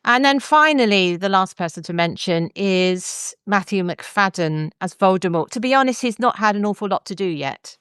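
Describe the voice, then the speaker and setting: A woman hosting a conversational review, talking in a measured, thoughtful way. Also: medium pitch, expressive, a bit bright, clean tone, no room sound, studio quality. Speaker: podcast host.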